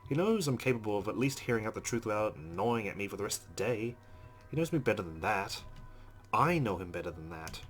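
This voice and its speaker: A man's voice: on the low side, expressive, brisk, soft background music. A man playing a visual novel, reading its narration aloud and dropping in casual asides as a let's player. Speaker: let's play gamer.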